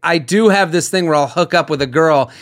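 Male podcast host, higher register, loose rambling storyteller with frequent restarts. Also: brisk, fairly bright tone. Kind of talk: casual chat.

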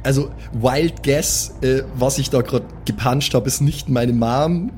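A man's voice: mid-range voice, measured pace, a little mellow, slight background noise. A man playing an improvised tabletop role-playing game, talking casually with the group. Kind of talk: casual improvised play and banter.

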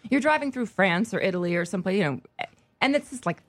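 A woman telling stories in a casual chat, quick to laugh and animated. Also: medium pitch, a crowd in the background. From United States. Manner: lively storyteller, laughs often. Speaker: guest.

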